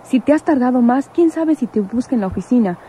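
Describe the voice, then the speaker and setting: A woman's voice: on the high side, expressive, a little fast, mellow, slight background noise, close to an everyday voice. An actress playing a proud, wary woman in a radio soap, earnest and firm. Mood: tense. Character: proud, wary, insistent woman warning a man. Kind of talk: Spanish-language radio soap acting.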